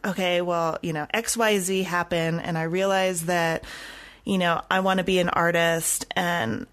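A woman podcast host with a somewhat low voice. She speaks in a casual, conversational way, as an interviewer chatting with a guest. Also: fairly bright tone.

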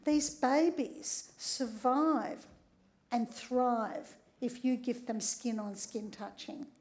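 A woman, giving a lively talk on a theatre stage. Her voice is a bit croaky, and she keeps checking in with the audience with a quick "right?". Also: on the high side. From Australia. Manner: conversational, often tags lines with "right?". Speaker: speaker giving a public talk. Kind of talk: lively public lecture.

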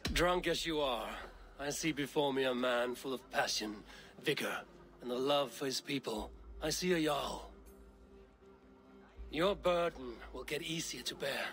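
An actor playing a steady, commanding Viking advisor in a video game, with a composed, formal manner. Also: male voice, mid-range voice, measured pace, faint music behind. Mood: reassuring. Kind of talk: dramatic video game acting.

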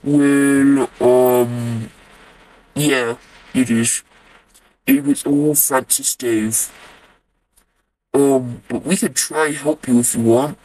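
A man's voice: mid-range voice, fairly even intonation, drawn-out words, long pauses, a little mellow, low-quality recording. A man playing a laid-back purgatory leader trying to sound cool, slangy and hesitant, in an amateur cartoon. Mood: friendly, awkward. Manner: slangy, bro-heavy, hesitant.

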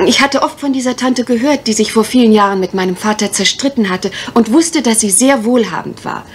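An actress in a mystery radio play as an anxious, well-bred young parson's daughter, speaking politely and hesitantly in careful detail. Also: female voice, fairly high pitch, fairly quick, natural voice. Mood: resigned, recounting. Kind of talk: 1980s German mystery radio play acting. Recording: faintly noisy old radio recording.

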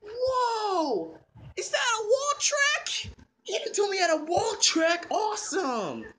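A performer voicing an excitable young boy Koopa in a toy skit, squealing and gushing in childlike exclamations. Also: sits high, a little slow, a little hiss or hum, exaggerated voice. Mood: excited.

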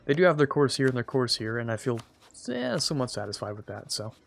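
A man's voice: medium pitch, sing-song, quick pace, gravelly, slight background noise. A young man hosting a strategy game let's-play, his voice slightly strained, chatting casually and talking to the computer rivals as he plays. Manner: chatty, self-deprecating, talks to the computer rivals. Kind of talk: casual gameplay commentary.

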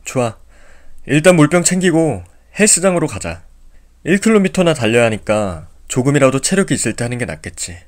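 A man plays a level-headed young leader in an audio drama. He sounds calm and decisive, with a lively pitch. Soft music runs underneath. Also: a little fast, natural voice.

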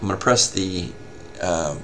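A man giving a casual, step-by-step software tutorial as a help desk instructor. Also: low-pitched, measured pace, slight background noise. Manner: informal step-by-step explaining.

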